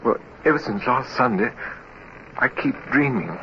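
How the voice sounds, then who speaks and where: An actor playing a frightened, despairing young naval midshipman in a radio drama, speaking hesitantly in a hushed, trembling voice. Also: mid-range voice, lively intonation, unhurried. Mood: despairing, haunted. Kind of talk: 1950s radio drama acting. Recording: muffled, hissy old radio recording.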